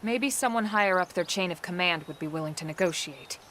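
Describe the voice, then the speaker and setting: An actress playing a calm, diplomatic and resolute squad commander in a video game, with composed authority. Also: female voice, on the low side, a little fast, a little hiss or hum, close to an everyday voice. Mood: thoughtful, decisive. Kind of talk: video game cutscene acting. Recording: loud music behind. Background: loud game soundtrack music.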